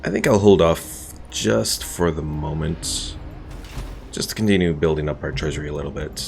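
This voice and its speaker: Deep, gravelly gaming streamer. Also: male voice, normal speed. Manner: relaxed, thinking aloud, chatting to viewers. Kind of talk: casual let's-play commentary. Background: game music.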